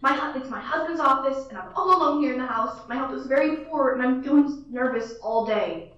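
A woman's voice: high voice, brisk, a bit bright. A girl playing a nervous, sickly woman who frets and pleads on the phone. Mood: anxious. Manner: anxious, pleading, fretful. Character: nervous invalid woman, frightened caller. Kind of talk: student radio play acting. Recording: distant echoey lo-fi recording.

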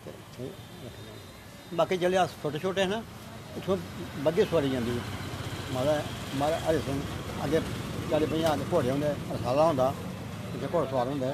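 An older man with a Dogri accent, talking haltingly and drifting into dialect in a street interview outside his shop. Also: a little high. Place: shopfront on a busy old-city street. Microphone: handheld reporter mic. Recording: loud street noise outdoors.

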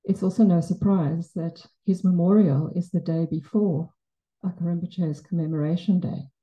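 A woman's voice: on the low side, steady tone, normal speed, dark tone, rough recording. A middle-aged woman from South Africa whose first language is Afrikaans, speaking with an Afrikaans South African accent as she gives a reflective, lightly humorous personal tribute at a memorial in a Buddhist center. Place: Buddhist center shrine room, indoors. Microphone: handheld mic, far camera.

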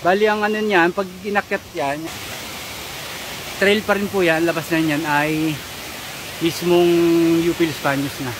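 Chatty hiking vlogger from the Philippines, a man in his thirties, talking to viewers on a handheld phone by a rushing waterfall. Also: a little high, fairly even intonation. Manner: chatty, addresses viewers as guys. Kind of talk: casual hiking vlog. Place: outdoors by a forest waterfall. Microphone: phone held at arm's length. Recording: hissy outdoor phone audio over waterfall.

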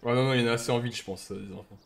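A man's voice: mid-range voice, steady tone, very fast, gravelly, slight background noise. Mocking young man on a headset. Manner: mocking, swears a lot. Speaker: streamer. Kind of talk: casual gaming commentary.

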